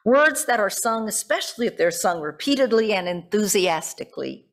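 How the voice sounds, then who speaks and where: An older woman speaks as a pastor from a pulpit, at a medium pitch. She reads her sermon in deliberate phrases, then steps out of it for casual asides and small corrections of herself. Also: expressive. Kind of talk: sermon read from notes with informal asides. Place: church sanctuary at a pulpit. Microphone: gooseneck pulpit microphone.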